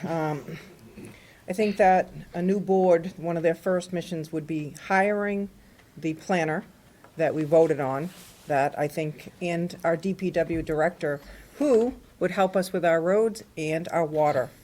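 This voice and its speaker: A firm, insistent woman speaks at a town forum. Her voice is lower than most, and she comes back to her points with resolve. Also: unhurried, a little mellow. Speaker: town board candidate. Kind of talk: candidate forum answer.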